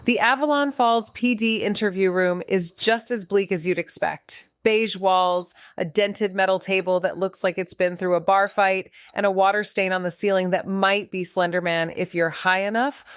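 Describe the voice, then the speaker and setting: Actress as smooth, sardonic young female investigator. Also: on the low side, no room sound, clean studio sound, close to an everyday voice. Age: young adult. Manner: wry, sarcastic. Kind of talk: noir audio drama narration and dialogue.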